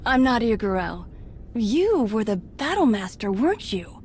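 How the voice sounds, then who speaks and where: An actress playing an earnest, polite Jedi with dry wit in a video game. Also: female voice, on the high side, sing-song, unhurried, darkish tone, a little hiss or hum. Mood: earnest, wry. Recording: background music under the voice.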